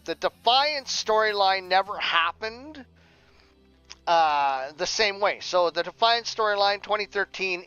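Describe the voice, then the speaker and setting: High-pitched, rambling man on a livestream. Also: a bit bright, clear, resonant tone. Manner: rambling, repeats words mid-sentence. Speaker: streamer and audio drama writer. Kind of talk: casual livestream chat. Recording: dull lo-fi sound, music behind. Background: music playing.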